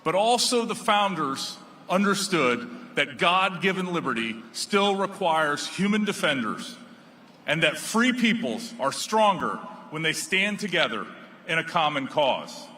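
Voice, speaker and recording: A man's voice: on the high side, bright, forceful tone, a little hiss or hum. Solemn man giving a formal address. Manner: solemn, rhetorical, measured cadence. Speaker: speaker at a formal celebration. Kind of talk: formal prepared speech.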